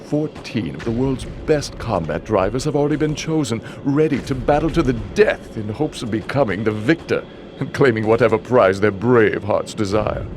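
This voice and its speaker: An actor playing a sinister, gloating showman villain in a video game, with a grandiose, drawn-out, theatrical delivery. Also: medium pitch, expressive, close to an everyday voice. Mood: grim, grandiose. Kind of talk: dramatic villain monologue and narration. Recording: heavily noisy old game audio.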